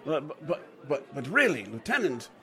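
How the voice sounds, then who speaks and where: Man playing a stammering, flustered gentleman. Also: on the high side, sing-song, moderate pace, a faint crowd, full character voice. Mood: sheepish, nervous. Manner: stammering, nervous, proper. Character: flustered, stammering respectable gentleman dinner guest. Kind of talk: 1940s radio drama acting.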